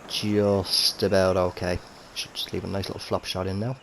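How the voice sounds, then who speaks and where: Throaty low-voiced man commentating a game. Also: little pitch movement, normal speed, gravelly, fairly bright tone, slight background noise. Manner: easygoing, chatty, frequent fillers and restarts. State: slightly throaty from a cold. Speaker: gaming commentator. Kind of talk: casual gameplay commentary. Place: home room with TV and console. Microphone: gaming headset.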